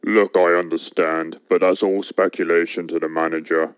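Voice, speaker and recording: A man as a surly, deep-voiced cartoon engine. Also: flat delivery, moderate pace, no echo, dull audio, natural voice. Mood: grumbling. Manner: gruff, blunt, insulting. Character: surly, foul-mouthed male steam engine, younger, combative. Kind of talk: fan animated game cutscene acting.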